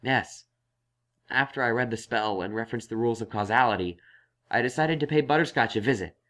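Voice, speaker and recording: Man voicing an earnest young unicorn scholar, medium-pitched. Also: fairly quick, fairly bright tone, close to an everyday voice. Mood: explaining. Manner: thoughtful, explanatory. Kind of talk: acted audio story dialogue.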